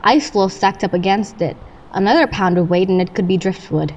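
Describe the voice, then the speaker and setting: A woman's voice: mid-range voice, brisk, a little mellow, muffled recording, slight background noise, natural voice. A woman voicing a male state trooper in a school radio play, speaking in a dry, matter-of-fact way. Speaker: student actor.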